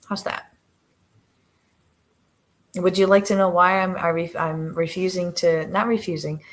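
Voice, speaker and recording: A woman hosting a casual livestream from her living room, talking gently with a soft voice that trails off and plenty of hesitant restarts. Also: on the low side. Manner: hesitant, many fillers and restarts. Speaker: livestream host with her dogs. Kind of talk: casual live chat to viewers. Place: living room, on the sofa with dogs.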